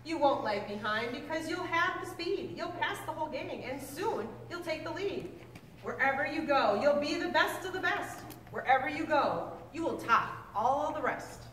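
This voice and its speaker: A woman with a high-pitched voice reads aloud to schoolchildren in an expressive storybook style. The sound is distant and reverberant, as in a big echoing room, with faint noise underneath. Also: normal speed. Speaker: teacher reading aloud to sixth grade students. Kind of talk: children's picture book read-aloud to a class. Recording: echoey hall, distant mic, faint noise.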